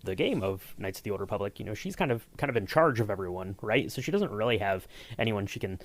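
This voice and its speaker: A man hosting a podcast, asking long, searching questions in a relaxed chatty way. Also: lowish voice, fast. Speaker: podcast host. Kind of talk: casual interview chat.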